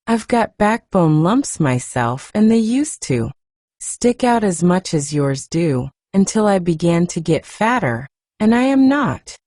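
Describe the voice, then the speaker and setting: A woman's voice: medium pitch, a little mellow, no echo, clean studio sound. A woman voicing a fierce, bossy little girl who scolds sharply.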